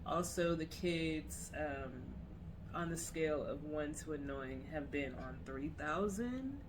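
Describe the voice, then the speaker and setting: A young woman and mother co-hosting a podcast, taking her time and slipping in dry jokes. She speaks very slowly at a middle pitch, with room ambience clearly audible behind her. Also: background noise. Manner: dry, joking, unhurried. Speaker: podcast co-host. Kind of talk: casual chat.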